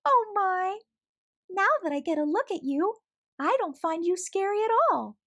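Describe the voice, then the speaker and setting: A woman's voice: very high voice, expressive, unhurried, a bit bright, voice pushed up, heavily acted. A woman voicing a small, dainty female mouse in a storybook, chatty and easily startled, with a dramatic way of talking. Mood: amused.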